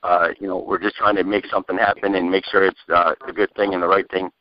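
A man's voice: low voice, level tone, fast. A man moderating a group phone call, giving blunt, informal directions to callers. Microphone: telephone. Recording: dull phone line, crowded conference call.

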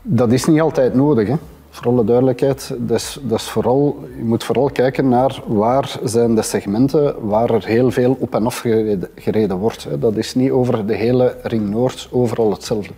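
Flemish male expert, forties, medium pitch, husky tone, explaining conversationally. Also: steady tone, moderate pace, warm tone. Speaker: expert panelist. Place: indoor café-style room, at a table.

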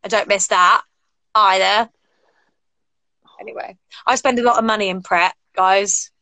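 A young English woman chatting casually on a video call, animated and gushing, full of "like", from a small room at home. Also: medium pitch, unhurried, no echo. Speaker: co-host. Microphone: laptop or webcam on a video call.

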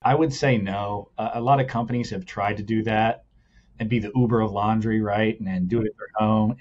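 A man's voice: on the low side, steady tone, a little fast, darkish tone, muffled recording, a little hiss or hum. A man who owns laundromats, explaining his business in a casual interview, earnest and step by step, often adding "like" and "right?". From United States. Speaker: laundromat owner guest.

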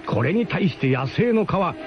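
A man's voice: high voice, sing-song, normal speed, over music. A man narrating a radio drama as comic first-person storytelling, in an emphatic, mock-grandiose manner. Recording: muffled radio broadcast with clear background noise.

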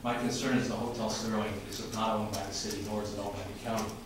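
A man's voice: fairly low pitch, flat delivery, fast. An older man with an American accent making an earnest, formal public comment to a city council as an official, repeating his phrasing for emphasis. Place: large indoor council chamber. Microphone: camera from across the room. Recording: distant, very echoey, noisy room recording.

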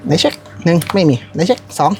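A woman's voice: quick pace, darkish tone, soft background music. A woman playing a card game at a shop table, calling out her moves and damage numbers in short bursts. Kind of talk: casual play-by-play at a card table. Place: comic shop gaming table.

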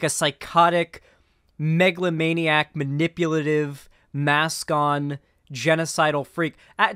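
A man's voice: on the high side, pushed and bright, no room sound. A young man giving casual, opinionated reaction commentary as a streamer, speaking into a close mic indoors. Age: young adult.

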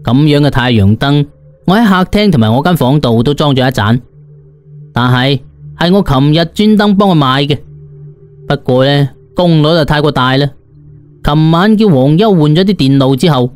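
A man's voice: a little high, lively intonation, normal speed, darkish tone. A man with a Cantonese accent narrating an audiobook in a colloquial, dramatic first-person storytelling style.

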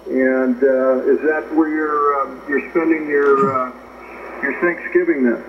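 A man chatting casually over shortwave radio in a halting, rambling way, heard through a radio speaker. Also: mid-range voice, steady tone. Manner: halting, rambling, hesitant phrasing. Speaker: amateur radio operator. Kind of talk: casual ham radio chat. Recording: noisy, muffled shortwave radio reception. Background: radio static.

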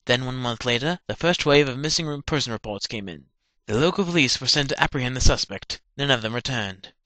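A man's voice: mid-range voice, lively intonation, a little fast, bright, forceful tone, no room sound. A man voicing a hapless, nervous military subordinate giving a briefing in a parody cartoon. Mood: earnest, nervous. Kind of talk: fan-dub parody cartoon acting.

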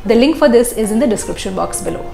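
A young woman, a visa interview coach, gives clear, steady advice to the camera in an office. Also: fairly high pitch, fast, reverberant, soft background music. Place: office with glass walls.

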